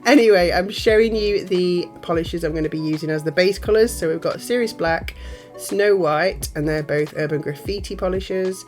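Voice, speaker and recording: A woman's voice: fairly low pitch, moderate pace, a little mellow, faint music behind. A woman with a nasal voice from a cold, hosting a nail art tutorial in a chatty way with giggly asides. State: has a cold. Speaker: nail art channel host. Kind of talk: casual tutorial narration.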